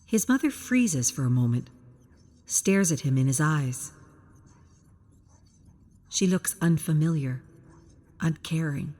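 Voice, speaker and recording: A woman's voice: deep voice, animated, slow, pauses often, mellow. A woman reading a scripted story as a dramatic, suspenseful narrator. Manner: present-tense suspenseful storytelling.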